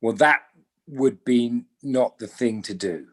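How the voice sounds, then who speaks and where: An actor playing a defensive company manager giving guarded, evasive testimony in a courtroom drama table read. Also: male voice, medium pitch, slow. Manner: guarded, terse, evasive answers.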